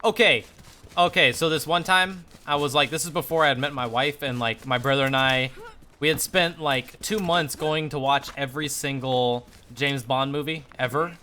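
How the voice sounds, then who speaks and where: A young man telling a casual story while gaming on a livestream, animated and slangy, swearing freely. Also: medium pitch, normal speed, slight background noise. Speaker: live streamer.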